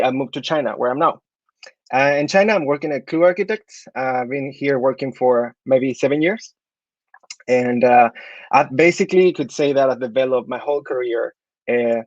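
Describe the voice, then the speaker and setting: A man's voice: mid-range voice, darkish tone, no echo, highs cut off. A man in his thirties from Chile, an architect giving portfolio tips in an online talk, hesitant, with many fillers and restarts. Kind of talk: online talk with slide review.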